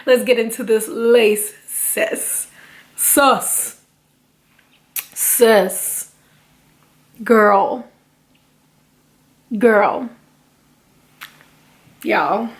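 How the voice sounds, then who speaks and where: A woman's voice: on the high side, drawn-out words, long pauses. A young adult woman reviewing a wig in a casual beauty vlog, chatty and slangy, with her voice a little off from feeling sick. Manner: chatty and slangy, addresses viewers as sis and y'all.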